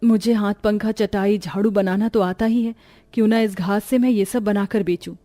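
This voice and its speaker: A woman's voice: medium pitch, steady tone, normal speed, dark tone, no echo, soft background music, lightly acted. A woman voicing a grieving, desperate poor mother of five, anguished and pleading, in a cartoon story. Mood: desperate worry.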